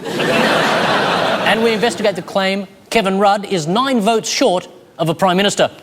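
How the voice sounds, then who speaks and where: A man's voice: sits high, unhurried, background noise. A man hosting a satirical news show, interviewing in a pushy manner and repeating his questions. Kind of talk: mock interview. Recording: studio, loud audience laughter.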